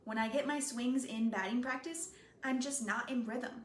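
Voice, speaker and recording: A woman reading the part of a spirited, caring teenage girlfriend, teasing and unhurried, in an echoey room. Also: fairly high pitch, steady tone, a little hiss or hum, natural voice. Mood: discouraged. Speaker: reader.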